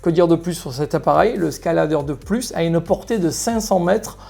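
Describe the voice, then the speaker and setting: Male product presenter, mellow and creaky delivery, explanatory, addressing viewers over background music. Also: on the high side, moderate pace. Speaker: motorcycle shop product presenter. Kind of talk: product presentation, semi-scripted.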